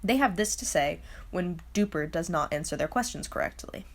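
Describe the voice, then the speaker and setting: Woman, fairly low pitch, casual video commentary, wry, openly baffled, scripted recap delivery. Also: expressive, normal speed, noisy background. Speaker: host of a video explainer.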